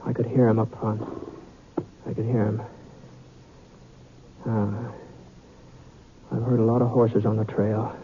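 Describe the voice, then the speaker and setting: A man's voice: on the low side, flat delivery. Folksy gunman on hissy old radio. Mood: tense narration. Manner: folksy, halting in dialogue. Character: seasoned male western gunman, weary and guarded, recounting his tale. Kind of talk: old-time radio western narration and acting. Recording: muffled, hissy vintage radio recording.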